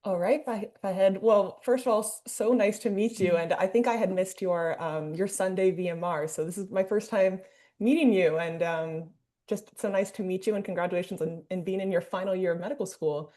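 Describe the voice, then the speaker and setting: A woman's voice: mid-range voice, moderate pace, mellow. A woman clinician talking through a case over a video call, thinking aloud with fillers. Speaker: clinician discussant. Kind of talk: teaching discussion.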